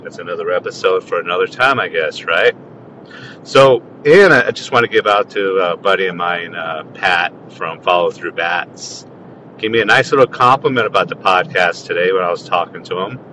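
A very deep-voiced man talks into his podcast from behind the wheel, drifting from point to point and chuckling at his own jokes. A faint rumble of the road runs under his voice. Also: a bit bright, low-quality recording. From Tucson, Arizona. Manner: rambling, self-amused, frequent restarts. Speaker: podcast host. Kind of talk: casual solo podcast monologue. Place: in a car driving. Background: car engine rumble.

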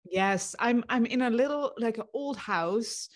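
A middle-aged woman with a Dutch accent speaks as an interview guest. She thinks aloud as she goes, pausing on fillers and restarting her sentences, earnest and reflective in tone. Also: on the high side. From Netherlands. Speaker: guest, success coach. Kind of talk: conversational interview.

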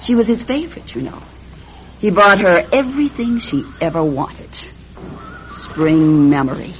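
A woman's voice: on the low side, big pitch swings, unhurried. An actress playing a mocking, unhinged woman with a murderous second personality, in a theatrical radio drama style. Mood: coolly confessing. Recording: dull, noisy radio drama recording.

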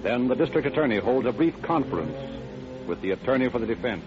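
A male American narrator from 1930s radio, with a polished and dramatic delivery. His voice is medium in pitch. The old recording is dull, with faint music behind. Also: very animated, fast. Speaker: narrator and announcer.

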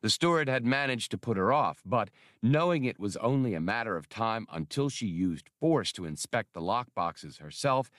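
A man's voice: lowish voice, dry recording, clean studio sound. A man reading scripted narration from a science fiction adventure novel as an audiobook narrator.

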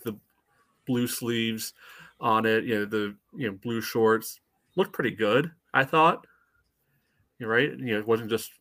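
A man's voice: on the low side, bright, forceful tone. A man chatting casually as a sports fan on a podcast, wry and blunt, often saying "you know".

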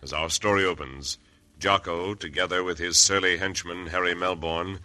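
A man's voice: low-pitched, steady tone, moderate pace. A man with an American accent announcing and narrating an old radio western in a dramatic, declamatory style. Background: loud organ music.

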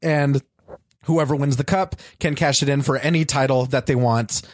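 A man's voice: mid-range voice, steady tone, normal speed, no room sound. A man guesting on a casual podcast, telling a long story with many fillers and restarts. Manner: chatty, lots of fillers and restarts. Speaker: wrestling manager guest.

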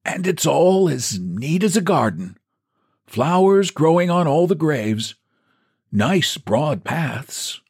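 A man's voice: on the high side, very animated, slow pace, a little mellow, husky, pitched above the performer's own voice, full character voice. A man voicing a frail elderly man who talks in a thin, piping, quavering way. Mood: fond.

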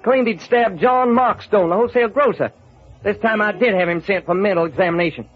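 A skeptical, plain-spoken police detective in a vintage radio drama. The voice is high and rough, brisk and matter-of-fact, through muffled, narrow sound. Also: male voice, soft background music. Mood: resigned. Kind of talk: old-time radio crime drama acting.

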